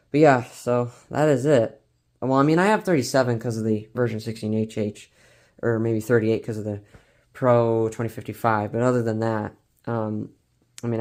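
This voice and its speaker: A man's voice: mid-range voice, darkish tone. Casual, rambling man in a hobby vlog. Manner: informal, rambling, hesitant, slangy. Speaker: hobbyist collector presenting a collection video. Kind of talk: casual unscripted show-and-tell vlog.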